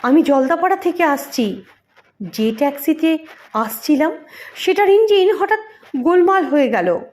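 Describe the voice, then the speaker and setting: A woman's voice: sits high, lively intonation, a little slow, darkish tone. A woman voicing an earnest, polite middle-aged male photographer in an audio story, speaking formally with English phrases mixed in. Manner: formal, courteous, emphatic, mixing in English phrases.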